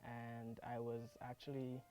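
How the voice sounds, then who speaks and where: Mellow, flat-voiced young man. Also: mid-range voice, unhurried. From Trinidad. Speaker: music student. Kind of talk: interview answers.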